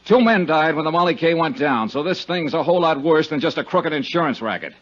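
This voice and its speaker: A man's voice: on the high side, animated, a little fast, a bit bright. A man playing a tough, wry insurance investigator in a 1950s radio drama, speaking and narrating in a hard-boiled manner. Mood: accusing. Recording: muffled 1950s radio recording with music.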